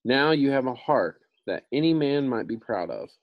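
A man's voice: mid-range voice, big pitch swings, moderate pace, no room sound, muffled recording, voice pushed up. A man voicing a little humbug wizard from a storybook, matter-of-fact, evasive but obliging.

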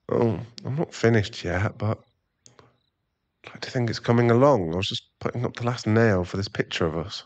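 A man playing a remorseful, tender boyfriend and expectant father in an intimate ASMR roleplay, speaking softly in halting, stammered phrases. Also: lowish voice, no echo, highs cut off, close to an everyday voice. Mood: fond, wistful. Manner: halting, stammering, soft-spoken apology.

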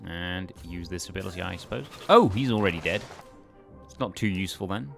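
A man's voice: low-pitched, lively intonation, fairly quick, gravelly, faint music behind. A man casually thinking aloud as he commentates a video game. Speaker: let's play host.

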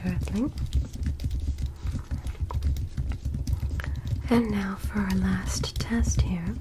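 Whispering, slow-talking alien doctor played by a woman. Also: mid-range voice, lightly acted. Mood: gentle, attentive. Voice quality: soft, breathy whisper. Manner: gentle, clinical, formally praising. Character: polite, curious female alien doctor examining an earthling. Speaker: ASMR roleplay performer. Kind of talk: whispered ASMR medical roleplay.

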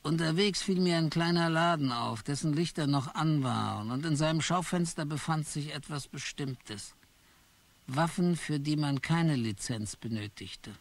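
A man's voice: fairly high pitch, normal speed. A man narrating in first person as a weary office clerk in a German radio play. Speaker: narrator and lead.

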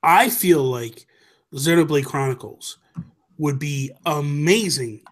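A man's voice: fairly high pitch, takes it slowly. A middle-aged man chatting casually as a podcast co-host, making his points emphatically and repeating them for stress. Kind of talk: casual opinionated chat. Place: small home room. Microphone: desk microphone, headset headphones.

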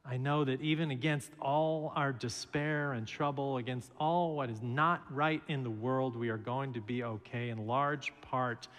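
A middle-aged man preaches from a church pulpit, his mid-range voice unhurried and measured as he weaves small stories into the sermon. The pulpit mic picks him up in a large sanctuary with a long reverb trailing behind. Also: medium pitch, fairly even intonation, fairly bright tone. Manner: slow, measured preaching with storytelling asides. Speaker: minister. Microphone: pulpit microphone.

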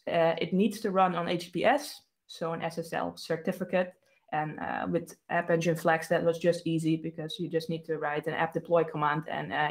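A woman in her thirties with a Dutch accent, presenting a technical talk with a live demo in a casual way, with many fillers and restarts. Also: fairly low pitch. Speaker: developer advocate presenter. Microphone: webcam video call.

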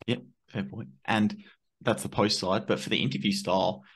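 A man's voice: on the low side, steady tone, moderate pace, slightly rough. A man hosting a podcast over a video call, asking brief, direct follow-up questions. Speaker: podcast host. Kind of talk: interview questions. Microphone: headset and desk mic.

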